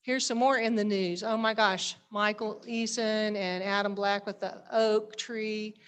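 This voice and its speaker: A woman's voice: mid-range voice, steady tone, a little slow, fairly bright tone, clear, resonant tone. A chatty, enthusiastic woman giving an informal report to members at a live meeting as a society director. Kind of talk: informal spoken presentation to members.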